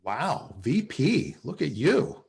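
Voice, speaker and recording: A man acting a flustered, smitten office salesman in a sitcom table read, with a halting, excitable delivery. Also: fairly high pitch, vocal fry, heavily acted. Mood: awkward banter. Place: video call at a table read.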